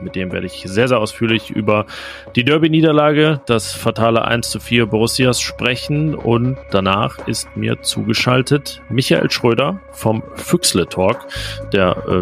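Male podcast host, low gravelly voice, casual hesitant delivery, faint music underneath. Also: fairly bright tone. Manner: conversational, filler-heavy. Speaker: podcast host, sports reporter. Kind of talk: casual football podcast chat.

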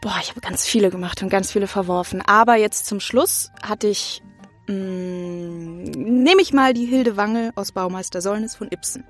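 A woman's voice: medium pitch, normal speed, soft background music. A young adult woman giving casual, informal and anecdotal answers as an interview guest. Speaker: interview guest, acting student.

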